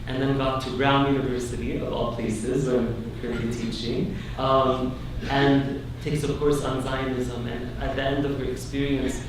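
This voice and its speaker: A young man giving an earnest, emphatic keynote speech, telling personal stories to an audience. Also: mid-range voice. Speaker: keynote speaker, academic. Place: large indoor meeting hall at a conference. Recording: echoey hall, far from mic, noisy.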